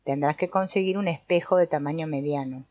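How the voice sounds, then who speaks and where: A woman reading the lines of an old male sorcerer teacher, calm and instructive, in her own voice. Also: low voice, a little fast, natural voice. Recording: dull lo-fi dry recording.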